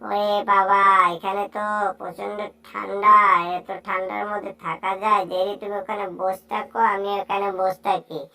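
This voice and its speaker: A woman voicing an excitable, teasing cartoon animal pal in a playful, exclaiming amateur dub. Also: medium pitch, normal speed, creaky, recorded from a distance, low-quality recording. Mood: chatty. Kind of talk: amateur Bangla cartoon dub, improvised chatter.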